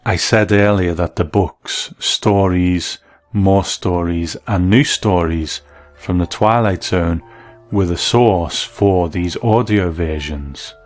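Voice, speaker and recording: Deep-voiced man narrating over music. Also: slow. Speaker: podcast host and interviewer. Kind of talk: scripted narration.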